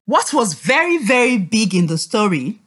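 Woman teacher, children's read-aloud, highish voice, lively swooping intonation, encouraging, deliberate. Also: breathy edge. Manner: expressive, encouraging, deliberate enunciation.

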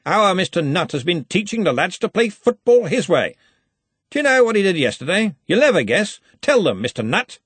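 A man's voice: a little high, big pitch swings, moderate pace, a bit bright, no room sound, voice pushed up. A man voicing a jovial, tall old university Arch-Chancellor in an audiobook, speaking in hearty, clipped phrases with a faintly threatening cheer. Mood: menacingly jovial.

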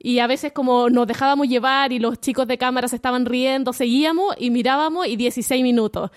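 A woman with a Chilean accent, a storyteller explaining things in a steady, casual way on a panel. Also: high voice, fast talker, dry recording, clean studio sound. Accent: Chilean Spanish. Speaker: storyteller guest.

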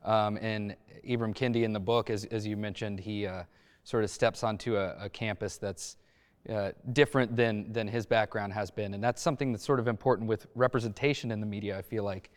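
A man speaking as a journalist on a discussion panel, feeling out his phrases in a halting, measured way. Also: fairly low pitch, steady tone, smooth. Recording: long echoing reverb.

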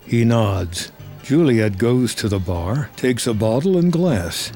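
A man narrating scripted drama action in measured, terse storytelling. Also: medium pitch, animated, mellow, faint music behind.

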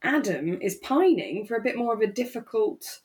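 A woman's voice: a little high, big pitch swings, normal speed, a little mellow, husky. A woman co-hosting a podcast, talking casually and reflectively as she explains her take on a radio drama. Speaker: podcast co-host.

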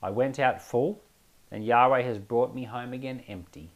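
A man speaking as a pastor, reading scripture aloud and explaining it informally, with expressive and emphatic phrasing. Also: mid-range voice, moderate pace, muffled recording.

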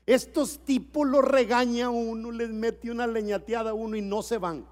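A middle-aged man from El Salvador preaching a sermon at a church conference, dropping to quiet storytelling and then building to shouted exhortation. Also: very high-pitched, steady tone, normal speed.

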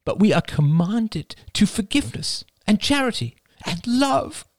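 A man's voice: high-pitched, big pitch swings, a little slow, slight background noise, pitched above the performer's own voice, exaggerated voice. A man voicing an anxious middle-aged mother who pleads emotionally in an audiobook. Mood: pleading. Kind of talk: audiobook character voice.

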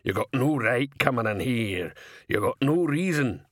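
A man's voice: medium pitch, lively intonation, a little slow, no room sound, studio quality. Man doing a muttering, grudging troll potter. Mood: indignant. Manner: muttering, broken grammar. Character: slow-witted, grudging male troll potter.

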